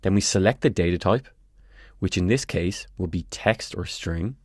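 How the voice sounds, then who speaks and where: Low-voiced man narrating a tutorial. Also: moderate pace, dry recording, studio quality. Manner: clear step-by-step instructional delivery. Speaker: tutorial presenter.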